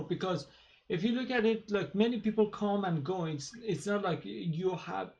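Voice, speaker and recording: A man with a thick Persian accent talks on his own channel in a fairly high voice. He speaks casually and haltingly, full of fillers and restarts. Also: a little mellow, dull audio. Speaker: channel host. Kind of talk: casual monologue to camera.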